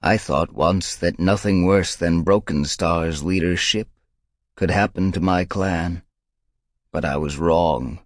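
A man voicing an old gray she-cat with a rasping, rumbling voice, speaking in slow, weighty, stern tones. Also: no room sound, studio quality. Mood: stern, knowing.

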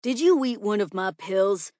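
A woman's voice: medium pitch, lively intonation, normal speed, darkish tone, dry recording, studio quality, close to an everyday voice. A woman voicing a crass, impatient old male schoolteacher in a storybook, blustering and condescending. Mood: exasperated, scornful.

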